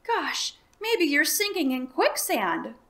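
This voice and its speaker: A woman doing an excited little boy. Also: sits high, slow, voice pushed up, exaggerated voice. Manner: excited, earnest. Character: brave, cheerful little boy.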